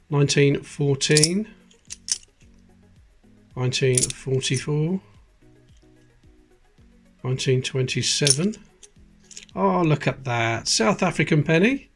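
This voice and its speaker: A British man hosting a coin collecting video, chatting casually and reading out dates as he sorts through coins at a table. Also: medium pitch. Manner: chatty, reads out dates as he goes. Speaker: coin collecting channel host. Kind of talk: casual commentary while sorting coins. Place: indoors at a table. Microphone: close camera, hands-only framing.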